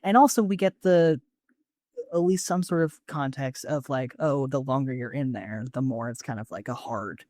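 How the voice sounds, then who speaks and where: An androgynous, mid-pitched voice co-hosts a casual podcast in a dry, close sound. The delivery rambles conversationally, dropping into acted-out asides and casual swearing while retelling scenes. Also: normal speed, dark tone, dry recording. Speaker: podcast co-host. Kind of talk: casual chat recapping a TV show.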